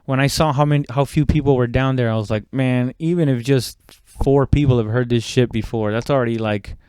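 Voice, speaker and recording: Male comic podcaster. Smooth, clean voice, dry close sound, informal halting solo ramble with loose swearing. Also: mid-range voice, steady tone, a little mellow, dry recording. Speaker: stand-up comedian hosting own podcast. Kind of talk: casual rambling solo chat.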